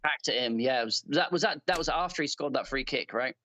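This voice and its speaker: An English man from Leeds chatting as a guest, earnest and halting with many fillers and restarts. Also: mid-range voice, bright, forceful tone, dry recording. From Leeds, England. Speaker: guest player. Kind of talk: casual chat.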